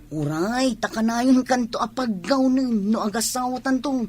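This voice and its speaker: A woman's voice: a little high, unhurried, a little mellow, slightly rough, no room sound. A woman playing a tender, indulgent young partner in a radio drama. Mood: tender, coaxing. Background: music.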